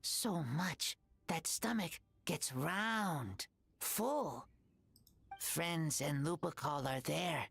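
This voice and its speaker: This is an earnest wolf-raised boy in an anime game. The voice is low and gravelly, moving slowly through short broken phrases with lively rises and dips. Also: slight background noise, exaggerated voice. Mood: earnest, tender.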